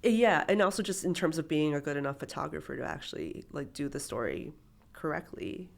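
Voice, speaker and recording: Photographer guest, woman, 30s, from Peru via Miami; casual, rambling, slight vocal fry. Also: sits low, a little fast. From born in Peru, raised in Miami. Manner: chatty, with many fillers and restarts. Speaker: documentary photographer, interview guest. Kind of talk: casual interview conversation.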